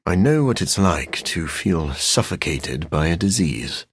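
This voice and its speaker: A man voicing a brooding, dryly sardonic scientist turned vampire in a video game, speaking formally with a husky edge. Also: low voice, big pitch swings, measured pace, slight background noise. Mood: grave, measured. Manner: formal, learned, dry wit. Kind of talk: video game character voice acting.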